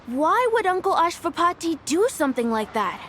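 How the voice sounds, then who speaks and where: A woman's voice: extremely high pitch, very animated, moderate pace, a bit bright, a little hiss or hum, heavily acted. A woman voicing an earnest, troubled young child in a video game. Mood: troubled, questioning. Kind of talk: animated video game voice acting.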